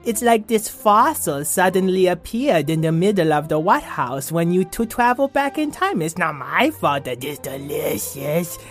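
A man's voice: high voice, expressive, unhurried, few pauses, a bit bright. A man performs a greedy, sickly zombie dog from a children's audio story. The dog drags out his words in whiny, sheepish excuses, with music underneath. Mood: sheepish, defensive.

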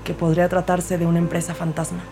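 An actress playing an earnest rookie woman detective, narrating her case in a measured, formal way in an audio drama. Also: on the low side, little pitch movement, over music, close to an everyday voice. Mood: businesslike. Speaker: narrator and lead character.

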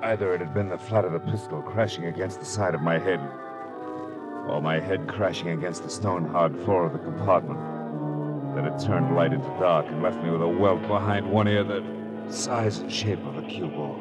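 Actor as low-voiced hard-boiled private eye. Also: male voice, normal speed, lightly acted. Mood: tense, grim. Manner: terse, sardonic. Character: hard-boiled male private detective, weary and wry. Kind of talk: 1940s radio drama first-person narration. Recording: old radio recording, dramatic music behind.

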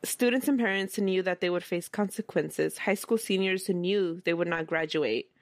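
Podcast host, woman, low register, conversational, reads quotes aloud, dry sound. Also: moderate pace, no room sound. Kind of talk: casual history podcast storytelling.

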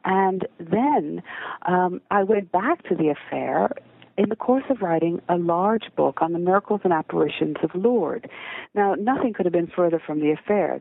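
A woman's voice: lowish voice, vocal fry, dry recording. American woman academic, articulate and reflective, heard over a tinny dry phone line. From suburban Philadelphia. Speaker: historian and author. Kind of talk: interview answers.

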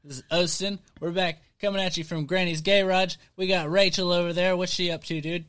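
A man's voice: high voice, steady tone, a little fast, fairly bright tone. A man hosting a casual garage podcast, laid-back and swearing freely, calling people dude and bro. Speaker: podcast host.

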